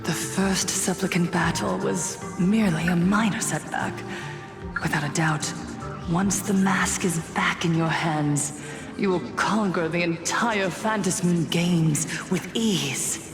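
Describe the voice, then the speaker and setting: A woman playing an eerie, seductive masked prophetess in a video game cutscene, with a breathy, hypnotic delivery that often sinks toward a whisper. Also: fairly low pitch. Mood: seductive, knowing. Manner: slow, hushed, hypnotic, savoring each phrase. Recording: voice over prominent music.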